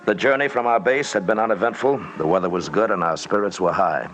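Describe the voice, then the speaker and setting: Man acting low-voiced expedition leader, radio drama. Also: fast, a bit bright, slight background noise, close to an everyday voice. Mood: dramatic narrating. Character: earnest male expedition leader, brisk, businesslike adventurer. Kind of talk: old-time radio drama narration and dialogue.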